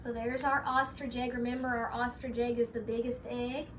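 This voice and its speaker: High-voiced woman reading to children. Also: little pitch movement, moderate pace, slight background noise. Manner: child-directed, explains simply, points things out. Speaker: teacher reading aloud to young children. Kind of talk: picture book read-aloud with explanatory asides.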